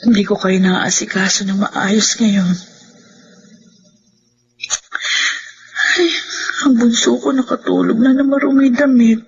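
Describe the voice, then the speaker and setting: Babaeng gumaganap na maluha-luhang asawa, mataas ang boses. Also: female voice, a little high, fairly even intonation, normal speed, slight background noise, lightly acted. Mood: weary, tender. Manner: pleading, tearful. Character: distraught, devoted, self-blaming wife and mother. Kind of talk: Filipino radio drama acting. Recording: dull lo-fi radio drama sound. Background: prominent dramatic music.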